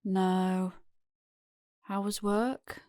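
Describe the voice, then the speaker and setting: A woman's voice: mid-range voice, level tone, drawn-out words, warm tone, dry recording, clean studio sound. An actress with a British accent playing a weary, resigned middle-aged wife and mother, confessing plainly in an audio drama. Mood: flat, polite. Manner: plain-spoken, world-weary.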